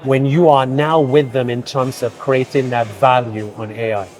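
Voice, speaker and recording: A man's voice: medium pitch, steady tone, moderate pace, a little mellow. Curious Nigerian-born male interviewer. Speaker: interviewer, host. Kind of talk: conference interview conversation. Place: busy tech conference.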